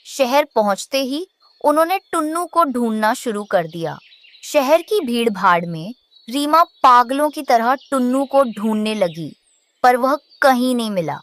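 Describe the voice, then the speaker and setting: Slow, higher-pitched woman narrating a story. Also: expressive, a bit bright. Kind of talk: scripted children's story narration.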